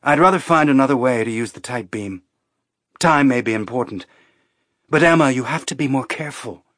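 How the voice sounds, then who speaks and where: A male narrator voices a dignified woman fugitive in a science fiction novel. She speaks in a composed, measured way and stays earnest, at a medium pitch, with no room sound. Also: a bit bright, dry recording, clean studio sound, lightly acted. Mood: measured, serious. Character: calm, dignified woman fugitive aboard a spaceship. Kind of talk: audiobook character acting.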